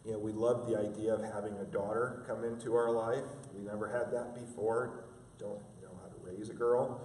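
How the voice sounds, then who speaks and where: A man in his fifties, a father, reflecting in a halting, filler-heavy way. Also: lowish voice, fairly even intonation, a little mellow, a little hiss or hum. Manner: halting, many fillers and restarts. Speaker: interview guest, father. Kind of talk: reflective conversational interview. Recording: echoey room from a distance.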